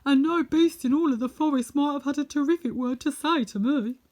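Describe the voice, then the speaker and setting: A woman's voice: sits high, fairly quick, darkish tone, voice pushed up, lightly acted. A woman voicing a big lion, king of the beasts, in a children's storybook reading. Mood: grateful, frank. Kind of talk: children's storybook read-aloud.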